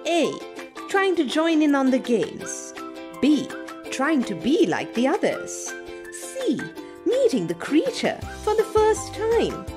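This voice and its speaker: A young woman reading to children as a storyteller, deliberate, teacherly and very expressive. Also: high voice. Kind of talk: scripted children's read-aloud and questions. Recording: music behind. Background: music.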